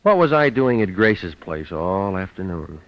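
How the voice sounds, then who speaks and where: An actor playing a tough, weary, wisecracking private detective in 1940s radio style, speaking in a clipped, dry, deadpan way. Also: male voice, sits low, unhurried, no room sound, heavily acted. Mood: wry, matter-of-fact. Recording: muffled vintage radio recording with music.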